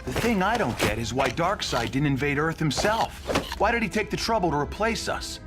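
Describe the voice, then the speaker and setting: A man playing an earnest, questioning superhero in an animated video game. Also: on the high side, normal speed, natural voice. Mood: puzzled. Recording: loud music behind.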